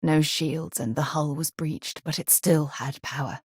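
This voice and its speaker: A woman space explorer, a video game companion with a low voice and a very dry, studio-clean sound. She is caring and principled, yet sharp-tongued. Her phrasing is formal, articulate and earnest. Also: fairly even intonation, unhurried, husky, no room sound. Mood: brisk, wry.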